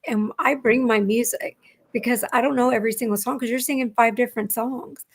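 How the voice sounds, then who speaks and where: A woman hosting a casual radio interview, friendly and quick with short affirmations. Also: on the high side, fairly even intonation. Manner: friendly, many short backchannel yeses. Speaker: radio host.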